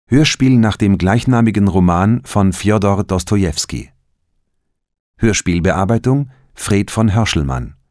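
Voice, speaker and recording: A man reading a formal scripted radio announcement with measured, precise enunciation. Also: deep voice, darkish tone, no echo, studio quality.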